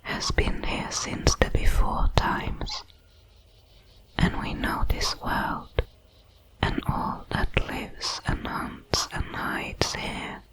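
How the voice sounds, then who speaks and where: A woman voicing a curious, solemn alien creature, a shard of a hive mind, in a whispered, halting ASMR sci-fi roleplay. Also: rough recording. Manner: slow, deliberate, halting over its words.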